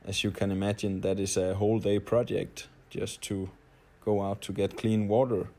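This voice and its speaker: Low, mellow Danish-accented man. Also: little pitch movement, normal speed. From Denmark. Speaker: traveler, audio blogger. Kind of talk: personal audio blog monologue.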